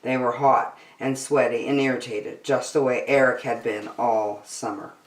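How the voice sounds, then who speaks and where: A woman reading a children's picture book aloud as host, deliberately phrase by phrase, in an echoey room. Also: rumbling low, fairly even intonation. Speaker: storytime host and reader. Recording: roomy echo.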